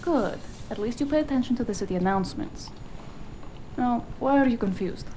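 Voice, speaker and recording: A woman playing a bossy, sharp-witted cyberpunk fixer in a noir audio drama, sardonic and scolding. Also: a little high, expressive, fairly quick, slight background noise, natural voice, low-quality recording. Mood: exasperated, smug. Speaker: voice actor.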